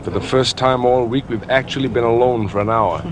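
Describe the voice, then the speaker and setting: A man's voice: medium pitch, brisk, close to an everyday voice. An actor playing a tense, weary young man on the defensive in a 1950s radio drama. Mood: wry, weary. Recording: old radio broadcast under car noise. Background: car driving noise.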